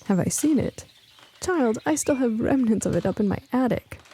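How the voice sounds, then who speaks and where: A woman's voice: on the high side, lively intonation, normal speed, dark tone, breathy edge, voice pushed up. A woman voicing a spry, feisty older female neighbor in an audiobook, with a folksy, bossy and affectionate way of talking. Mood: amused, dismissive.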